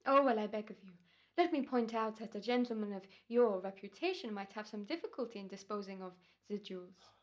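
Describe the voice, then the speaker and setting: A woman's voice: medium pitch, fairly quick, lightly acted. A woman playing a formal, courteous and menacing doctor in an old-time radio drama. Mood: polite menace. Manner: courteous, precise, ominous. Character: smooth, menacing, formal doctor turned murderer in radio rehearsal.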